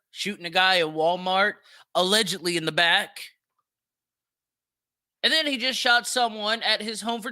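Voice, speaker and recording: Very bright, high-pitched American man ranting. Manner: blunt, profane, slangy; rhetorical questions and repetition for emphasis. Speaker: podcast host. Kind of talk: opinionated solo commentary on celebrity news.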